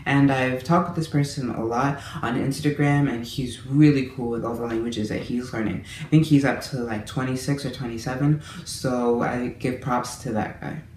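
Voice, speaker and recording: A man's voice: mid-range voice, steady tone, normal speed, few pauses, a little mellow. A man giving a casual monthly language-learning update to his viewers, talking in a friendly, chatty way with small restarts. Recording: roomy echo with faint room noise.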